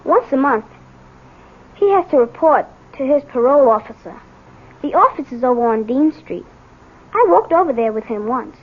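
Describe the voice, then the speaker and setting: A woman's voice: sits high, lively intonation, normal speed, pauses often. A woman playing an earnest, reassuring schoolboy in a 1970s radio drama. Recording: tinny, narrow-band old radio broadcast.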